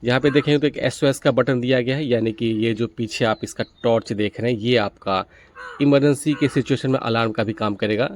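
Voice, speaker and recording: A man's voice: mid-range voice, brisk, a little hiss or hum. A man hosting a gadget review, explaining things methodically, step by step. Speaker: gadget reviewer, video host. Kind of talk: product review walkthrough, explanatory.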